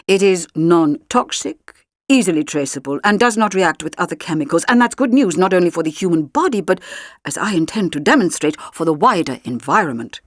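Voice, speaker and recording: A woman voicing an intense middle-aged male doctor who owns a clinic, deliberate, earnest and emphatic in an audiobook story. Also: fairly low pitch, lively intonation, no echo, studio quality. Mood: earnest, proud.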